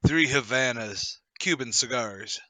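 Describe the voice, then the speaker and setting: A man's voice: mid-range voice, a little slow, bright, forceful tone, dry recording, voice pushed down. A man voicing a grim, terse Scotland Yard policeman in a radio drama. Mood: grave, hushed. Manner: terse, ominous. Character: grim, low-voiced male police officer from Scotland Yard.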